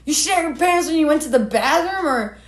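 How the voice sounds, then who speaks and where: Woman acting as young man on a date, tipsy, easygoing, slangy, animated intonation, audio story. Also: sits high, brisk, pitched above the performer's own voice. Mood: shocked, concerned.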